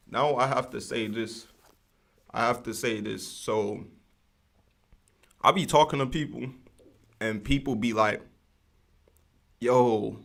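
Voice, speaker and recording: A man's voice: mid-range voice, gravelly, fairly bright tone. A man hosting a livestream in casual, rambling slang with lots of fillers. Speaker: livestream host.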